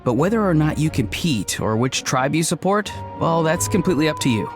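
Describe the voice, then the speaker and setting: Modest, higher-pitched young man. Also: sing-song, fairly quick. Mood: sincere. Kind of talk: video game voice acting. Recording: game music behind the voice.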